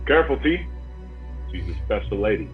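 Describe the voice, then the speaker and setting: A man's voice: fairly low pitch, very animated, fairly quick. A man acting a menacing, cocky drug dealer boss in a screenplay table read, taunting and foul-mouthed. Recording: muffled phone-like line, music behind.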